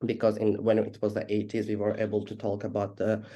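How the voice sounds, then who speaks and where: Low mellow-voiced man giving a webinar talk. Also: completely flat delivery, fairly quick. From Azerbaijan. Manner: hesitant, many fillers and restarts. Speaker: HIV activist presenter. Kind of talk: webinar presentation. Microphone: video call.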